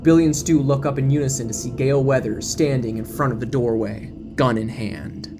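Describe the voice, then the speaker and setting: A man's voice: mid-range voice, brisk, over music. A man giving dramatic scripted narration as the narrator of a horror audio drama.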